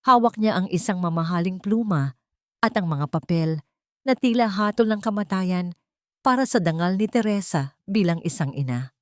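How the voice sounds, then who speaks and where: A woman's voice: on the low side, expressive, moderate pace, no echo, clean studio sound. A woman with a Filipino accent narrating a dramatic scripted story as a storyteller.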